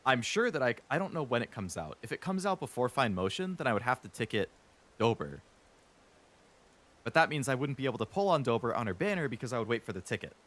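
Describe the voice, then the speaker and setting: A man streaming a game, chatting casually and rambling a bit, stuttering now and then when he talks fast. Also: mid-range voice, big pitch swings, a bit bright. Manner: rambling, chatty, lots of fillers.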